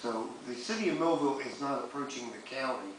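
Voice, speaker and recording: A man's voice: medium pitch, quick pace. Plain-spoken man in a very echoey room. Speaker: city commissioner. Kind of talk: public meeting remarks, clarifying. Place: public meeting room. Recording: very echoey room, distant mic, faint noise.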